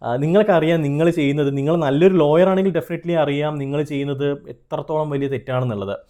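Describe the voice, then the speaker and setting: Male Malayali consultant-host, slightly high but soft-edged mellow voice, earnest explanatory delivery with English terms mixed in. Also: brisk. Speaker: trademark consultant and video host. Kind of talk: explanatory advice straight to viewers.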